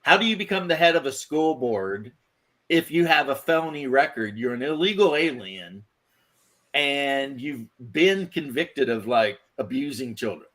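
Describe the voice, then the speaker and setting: A man's voice: mid-range voice, expressive, measured pace, fairly bright tone. A man in a casual group chat, telling stories in a laid-back, wry way.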